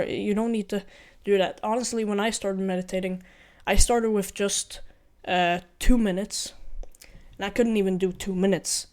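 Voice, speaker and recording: A man's voice: sits high, darkish tone. A young man from Ukraine with a mixed Ukrainian and Norwegian accent gives casual vlog advice. He talks in an earnest, rambling way, often correcting himself and repeating his points. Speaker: vlogger.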